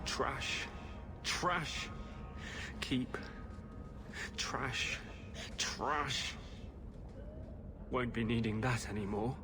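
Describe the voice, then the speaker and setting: An actor playing a shaken, brooding young male mage in a video game, with halting, troubled delivery. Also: medium pitch, over music.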